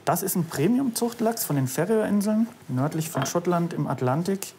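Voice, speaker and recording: A man's voice: sits high, expressive, moderate pace, mellow, slight background noise. A man explaining his work as a fish smoker in his small smokehouse, friendly and explanatory.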